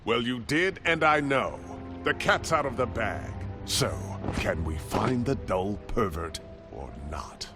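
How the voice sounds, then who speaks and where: A man's voice: mid-range voice, animated, takes it slowly, over music. An actor playing an arrogant, wealthy, short-tempered man in a video game, pompous and scornful. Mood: impatient. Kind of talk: dramatic video game acting.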